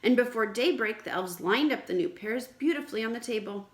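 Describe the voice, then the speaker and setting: A woman teacher reading aloud to children in an expressive, explanatory way, with questions for the listeners. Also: fairly high pitch, brisk. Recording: echoey room.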